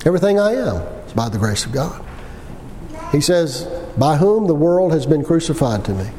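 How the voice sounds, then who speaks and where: Man, 60s, for sermon delivery: folksy and emphatic, very lively intonation, noisy recording. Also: fairly high pitch, moderate pace. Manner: folksy, conversational; emphatic repetition with rhetorical questions. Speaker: preacher. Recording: long hall reverb with background noise.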